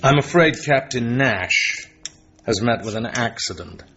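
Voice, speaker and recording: An actor playing a suave, sardonic British secret agent with a wry, urbane manner in a radio drama. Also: male voice, mid-range voice, expressive, unhurried, pushed and bright, slight background noise. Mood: cool courtesy. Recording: dull broadcast sound with music behind.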